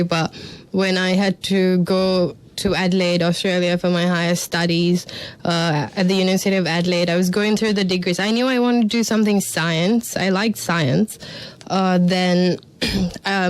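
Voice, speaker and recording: A woman's voice: on the low side, clear, resonant tone. A woman, explaining her research steadily as a marine biologist guest on the radio. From Sri Lanka. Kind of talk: radio interview answers. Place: radio studio.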